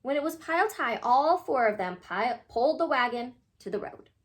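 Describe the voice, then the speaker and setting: A woman reading a children's picture book aloud in an animated, expressive way, addressing young listeners. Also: high voice, normal speed.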